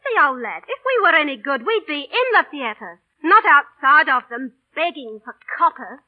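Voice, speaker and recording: An actress playing an ambitious, quick-tempered female street busker in an old radio drama. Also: high voice, big pitch swings. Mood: coaxing. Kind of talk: 1940s radio play acting. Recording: tinny, band-limited old radio recording.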